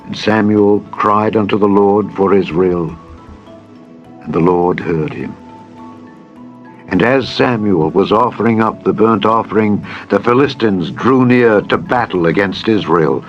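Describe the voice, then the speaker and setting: A man narrating scripture in a dramatized style, with a solemn, measured delivery. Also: on the low side, lo-fi sound. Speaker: Bible narrator. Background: music.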